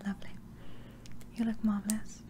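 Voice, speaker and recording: A woman softly whispering an ASMR roleplay as a barber, with a breathy, gentle, unhurried and reassuring delivery. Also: mid-range voice, little pitch movement, a little hiss or hum. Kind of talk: soft-spoken ASMR roleplay.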